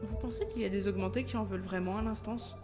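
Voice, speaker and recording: A woman's voice: medium pitch, steady tone, quick pace, music in the background, close to an everyday voice. A woman playing a composed, matter-of-fact police officer in an audio drama. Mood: formal, puzzled. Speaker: voice actor.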